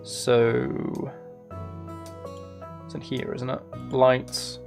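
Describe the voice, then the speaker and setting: A man talks casually on a live gaming stream, at a middle pitch. He chats with his viewers and thinks out loud while he plays. Guitar music runs clearly behind his voice. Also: completely flat delivery, extremely slow. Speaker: live streamer playing video games.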